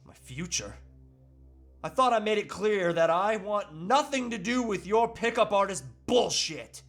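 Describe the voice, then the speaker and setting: A man's voice: high voice, animated, unhurried, a bit bright, soft background music, heavily acted. A man playing a defiant, indignant everyman husband in a comedic script read, speaking with earnest melodrama.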